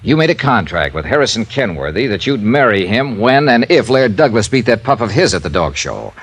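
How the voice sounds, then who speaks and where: An actor playing a tough, blunt, sardonic insurance investigator in an old-time radio drama. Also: male voice, on the low side, sing-song, brisk, a bit bright, husky, exaggerated voice. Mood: accusing.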